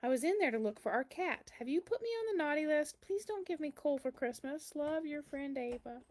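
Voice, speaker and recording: A woman's voice: high-pitched, lively intonation, fairly quick, darkish tone. A woman voicing a curious, earnest seven-year-old girl reading out her letters in a children's storybook, eager and full of questions. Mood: worried. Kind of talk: children's storybook read-aloud.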